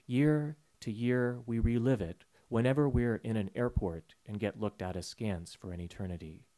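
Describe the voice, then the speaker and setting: A man reading his poem aloud from a panel table in an auditorium, with a measured, rhythmic delivery and repeated refrains. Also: fairly low pitch, dark tone. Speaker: poet reading his work. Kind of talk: poetry reading. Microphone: table mic on a stand.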